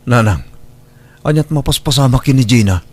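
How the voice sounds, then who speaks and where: A man's voice: mid-range voice, expressive, normal speed, natural voice. A man with an Ilocano accent playing a concerned, plain-spoken father and husband in an audio drama, earnest and questioning.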